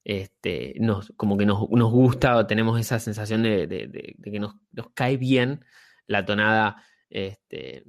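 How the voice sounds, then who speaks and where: Argentine man hosting a casual chat. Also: lowish voice. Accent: Argentine Spanish. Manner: casual, halting, with frequent fillers and repeated words. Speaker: podcast host. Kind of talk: conversational interview.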